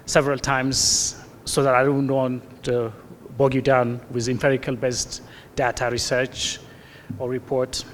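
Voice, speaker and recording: A man giving a formal conference presentation, measured with some fillers, on a stage microphone in a conference hall. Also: mid-range voice, a bit bright, slight background noise. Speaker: keynote speaker.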